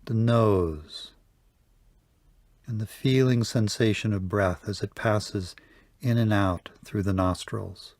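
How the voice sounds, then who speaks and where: A man leading a guided yoga nidra meditation, speaking softly and calmly with long pauses. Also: lowish voice, no echo. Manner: slow, soft, calm guiding with long pauses. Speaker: meditation teacher.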